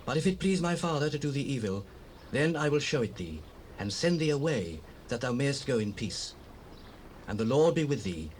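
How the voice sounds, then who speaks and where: An actor playing a loyal young prince, solemn and reassuring, declaiming like one swearing an oath in a scripture audio drama. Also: male voice, mid-range voice, darkish tone, close to an everyday voice. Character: young prince Jonathan, loyal, devoted friend. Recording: noisy cassette rip, distant ambience.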